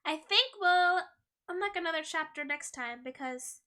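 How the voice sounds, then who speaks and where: A young woman streaming a game and chatting with viewers, playful and teasing, her voice a little hoarse. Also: extremely high pitch, animated, moderate pace, pushed and bright. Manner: playful, giggly, teasing chat. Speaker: VTuber streamer. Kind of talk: casual game stream chat, reading chat.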